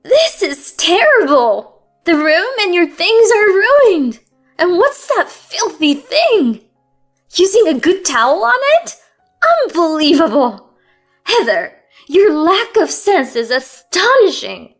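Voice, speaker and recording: Brief: a woman doing a sneering older mother-in-law, shrill and raised, slow pace, soft music under. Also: sing-song, full character voice. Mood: scornful.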